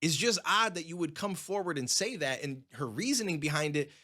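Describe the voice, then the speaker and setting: A man's voice: on the high side, expressive, brisk, pushed and bright. A man narrating a scripted commentary video in a bemused, incredulous way.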